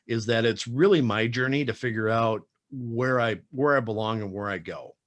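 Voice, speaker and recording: A man's voice: mid-range voice, normal speed, no echo, clean studio sound. Easygoing man host on a video call. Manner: easygoing, reflective, with fillers. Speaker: podcast host. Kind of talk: casual video-call interview. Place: home room with bookshelves. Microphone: webcam on a video call.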